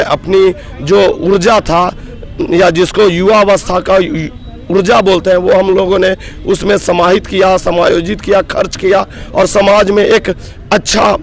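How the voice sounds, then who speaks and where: A hoarse man in his thirties, a block coordinator at a roadside protest, speaking earnestly and with rising grievance in a street interview. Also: high voice. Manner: aggrieved, earnest, builds to emphatic outbursts. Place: roadside protest sit-in outdoors.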